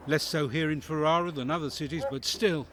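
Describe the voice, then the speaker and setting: An actor in an audio drama playing a devoted, wary counsellor to a noble family, with formal, theatrical phrasing and inner musings. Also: male voice, on the high side, steady tone, brisk, fairly bright tone, a little hiss or hum, close to an everyday voice. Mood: guarded, sombre.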